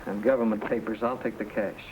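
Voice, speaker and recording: A man's voice: fairly low pitch, fairly even intonation, brisk, natural voice. An actor playing a hard, insistent young man in an old-time radio western, talking in a terse, plain way. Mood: hard, demanding. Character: young outlaw and his twin brother, hard and insistent. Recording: noisy old radio recording.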